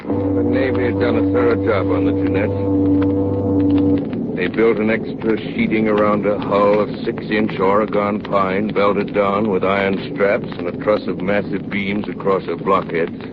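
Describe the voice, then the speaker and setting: An actor playing an elderly, plainspoken retired naval engineer, reminiscing in 1930s radio drama narration. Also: male voice, low-pitched. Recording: muffled, noisy 1930s radio recording.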